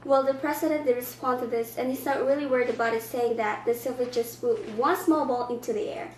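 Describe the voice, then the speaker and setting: Young woman, higher-pitched, scripted amateur news delivery, roomy echo, faint noise. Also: fairly even intonation, brisk. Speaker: student news anchor. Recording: echoey room, faint background noise.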